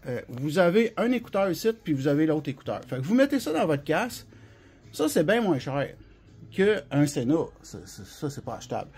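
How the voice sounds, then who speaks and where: A man with a Quebec French accent and a husky voice gives an informal, colloquial product demonstration, explaining in a rambling, hands-on way. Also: fairly high pitch, sing-song, normal speed, darkish tone. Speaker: CB radio specialist and seller presenting products.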